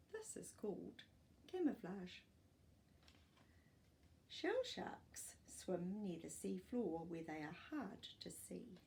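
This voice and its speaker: A middle-aged woman reading aloud to young children as a storytime host, expressive and explanatory, with small asides. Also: fairly low pitch, slight background noise. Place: living room at home.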